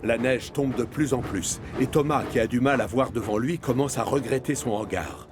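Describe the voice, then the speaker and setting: A man narrating a children's story from a script in an expressive storytelling manner. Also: mid-range voice, moderate pace, over music.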